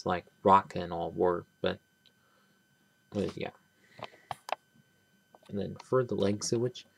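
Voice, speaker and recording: A man giving a casual, rambling toy review, pausing and restarting often as he handles the pieces. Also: fairly low pitch, vocal fry, darkish tone, no room sound. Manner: rambling, halting, many restarts and trailing "but yeah". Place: small indoor room at a table. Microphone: camera close on hands, speaker off-frame.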